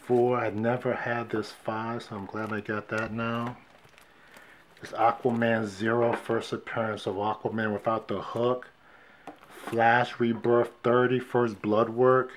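A man casually showing his comic book finds on camera, calmly counting off issue numbers one by one at a shelf indoors. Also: medium pitch, unhurried, a little hiss or hum. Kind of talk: casual show-and-tell vlog. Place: indoors at a wooden shelf display. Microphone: fixed camera, hands only in frame.

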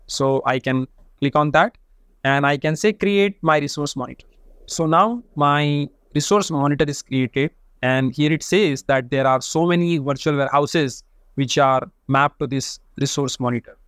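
A man with a somewhat high-pitched voice teaches a data tool in a methodical, step-by-step way. His voice-over is recorded dry and close to the mic, with no room sound. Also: normal speed, fairly bright tone, clear, resonant tone, dry recording. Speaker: data engineering instructor. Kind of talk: screen-share software tutorial.

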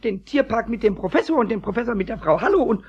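Raspy squeaky little kobold, childish n flustered, swoopy pitch, stutters, muffled old tape radio play. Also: male voice, quick pace, full character voice. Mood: flustered, indignant. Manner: stammering, repeating words excitedly. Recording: dull old cassette recording.

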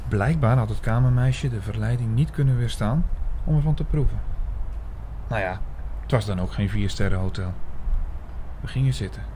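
Man playing a mellow, doubtful investigator narrator. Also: fairly low pitch, normal speed, a little hiss or hum, close to an everyday voice. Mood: reflective, doubtful. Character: puzzled, suspicious male investigator narrating his story. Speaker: narrator and lead actor. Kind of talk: scripted audio drama narration.

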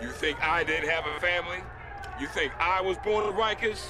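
A man's voice: a little high, normal speed, lightly acted. An actor playing a bitter, aggressive male convict gangster in a video game. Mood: bitter rage. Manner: aggressive, confrontational. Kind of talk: video game dramatic acting.

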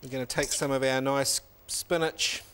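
A man's voice: mid-range voice, little pitch movement, moderate pace, fairly bright tone, smooth. A middle-aged man, a chef in a teaching kitchen, explaining each step of a recipe while he cooks, on a lapel mic. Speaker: cooking show chef. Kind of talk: cooking demonstration.

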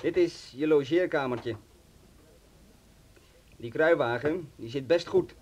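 A smug gangster's heavy in a radio play. He is falsely friendly and menacing, in a fairly high voice, with faint noise behind. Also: slow pace, darkish tone. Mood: gloating joviality. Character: jovial, smugly menacing male henchman with gun. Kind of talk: radio drama acting.

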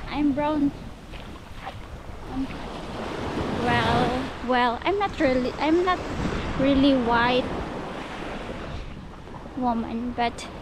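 A young woman from the Philippines, chatting directly to viewers as she walks along a beach with a handheld camera. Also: high-pitched. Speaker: travel vlogger. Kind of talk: casual walking vlog. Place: outdoors on a beach. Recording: noisy outdoor beach audio. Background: waves and surf.

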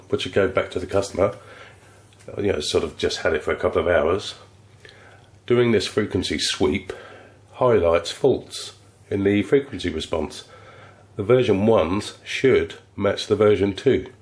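A man with a very deep voice and a congested, cold-stuffed sound. He explains electronics repair in a loose, chatty way, often restarting his phrases. It sounds like a casual workshop tutorial. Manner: relaxed, rambling, restarts phrases. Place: electronics workbench, indoors.

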